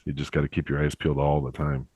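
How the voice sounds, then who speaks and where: A young man hosting a podcast, chatting in a relaxed, upbeat, conversational way. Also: very deep voice, quick pace, breathy edge, no echo. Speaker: podcast host. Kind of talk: casual podcast chat.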